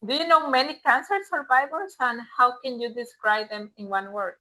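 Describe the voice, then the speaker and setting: A woman hosting an interview, welcoming viewers and asking her guest upbeat, quick questions. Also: fairly high pitch, sharp tone, poor audio.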